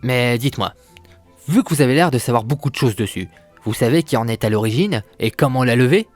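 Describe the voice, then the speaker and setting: A man's voice: mid-range voice, animated, a little fast, lightly acted. A man playing an earnest, protective mercenary leader in an amateur audio drama, casual and sincere. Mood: earnest, resolute. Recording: faint music behind the voice.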